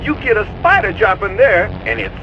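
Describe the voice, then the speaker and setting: A man's voice: sits high, normal speed, lo-fi sound, a little hiss or hum. An actor playing a cocky, slangy young American tech support guy in a video game cutscene. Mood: impressed, wary. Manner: slangy, animated, excitable.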